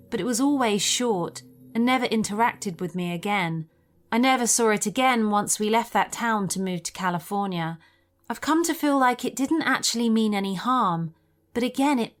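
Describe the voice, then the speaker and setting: A woman reading a scripted first-person story as a narrator of scary tales. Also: fairly high pitch. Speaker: narrator of listener-submitted scary stories.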